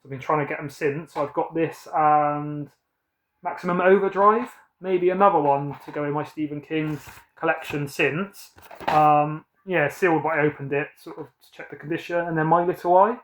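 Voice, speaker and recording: A man's voice: fairly high pitch, steady tone, moderate pace, echoey room, slight background noise. A British man in his thirties chatting casually about his film collection, rambling and correcting himself as he goes. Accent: British English. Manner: rambling, self-correcting, upbeat. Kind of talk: casual chat showing a film haul.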